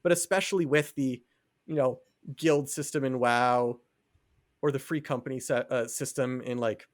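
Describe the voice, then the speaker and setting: A man co-hosts a casual podcast in a mid-pitched voice. He rambles conversationally, leaning on filler words as he goes. The sound is dry and close. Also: steady tone, moderate pace, dry recording. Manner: rambling, filler-heavy conversational delivery with frequent "like". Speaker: podcast co-host. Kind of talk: casual chat.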